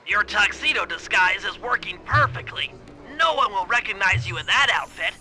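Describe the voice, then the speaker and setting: A nerdy turtle mastermind, very high-pitched and nasal, briefs a heist with fussy precision. The cautious, earnest cartoon game delivery sits over clear background music. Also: male voice, lively intonation, moderate pace, pushed and bright, full character voice. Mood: pleased, instructive.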